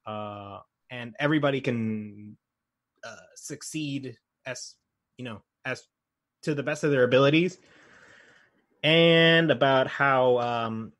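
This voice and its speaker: Man with mid-pitched voice, hesitant casual chat. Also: takes it slowly, fairly bright tone. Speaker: podcast co-host.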